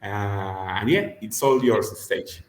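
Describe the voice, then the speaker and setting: Sex: man. Role: forum host. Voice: lowish. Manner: hesitant, lots of "uh" and "um". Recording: echoey room on a video call. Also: slow, soft background music. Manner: hesitant, filler-heavy. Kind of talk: hosting an online forum.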